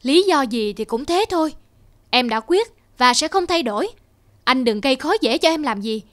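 A woman playing a caring, quietly resolute wife in a cartoon drama, gentle and measured. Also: high voice, expressive. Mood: sad, resolute.

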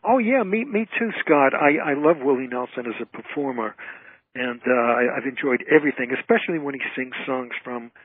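Older man, raspy voice, medium pitch, emphatic casual talk, tinny telephone sound. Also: expressive, a little fast, dry recording. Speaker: guest, entertainer and author. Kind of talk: casual interview chat. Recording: dry tinny phone line.

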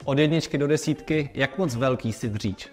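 Informal male interviewer, mid-pitched voice. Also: darkish tone, soft background music. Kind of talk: casual off-camera interview questions. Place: indoor studio, off camera.

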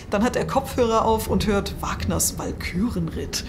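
An actress playing a resolute, candid scientist at a polar station in an audio drama. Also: female voice, medium pitch, expressive, unhurried, background noise. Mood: composed, wry.

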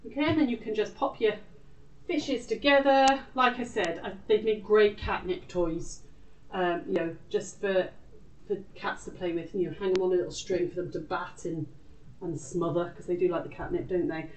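A British woman hosts an informal craft lesson in a chatty, off-the-cuff way. Her voice sits a little low. It is picked up from a distance in an echoey room. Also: fairly even intonation, normal speed. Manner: chatty, informal, talks to self while working. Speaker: craft tutor and live show host. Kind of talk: casual live craft demonstration.

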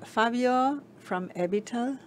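A woman giving a steady, measured simultaneous interpretation of a conference talk. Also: on the high side. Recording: conference hall with audience noise.

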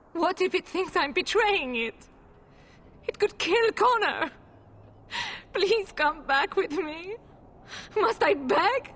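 A distraught highborn lady in a fantasy video game. Her voice sits fairly high, and she speaks with formal, wounded pride, always close to begging. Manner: pleading, formal, indignant. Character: distraught proud noblewoman, desperate mother and wife. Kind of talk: dramatic video game dialogue.

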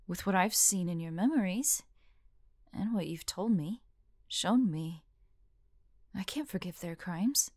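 Woman playing devoted young fantasy heroine, audio roleplay, unhurried, halting, breathy half-whispers, close dry sound. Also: fairly low pitch, animated, darkish tone, no echo. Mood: quietly resolute. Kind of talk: intimate acted audio roleplay.

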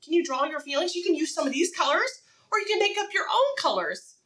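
A woman preschool teacher with a high, very expressive voice leads a lesson for young children. Her delivery is bright and encouraging, built on simple, repeated phrases and questions left open for the listener. She sounds a little distant from the microphone. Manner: animated, encouraging, asks questions and pauses for answers.

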